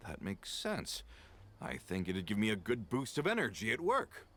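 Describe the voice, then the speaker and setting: A man's voice: fairly low pitch, huge pitch swings, normal speed, fairly bright tone, slight background noise. A middle-aged man voicing a tired, plain-spoken working-class carpenter in a video game. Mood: weary. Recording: game audio with music behind.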